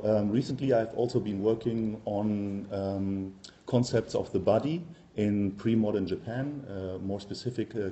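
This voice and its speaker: A man's voice: lowish voice, warm tone. A middle-aged man with a German accent giving an academic workshop presentation, hesitant and full of ums and uhs. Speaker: university lecturer.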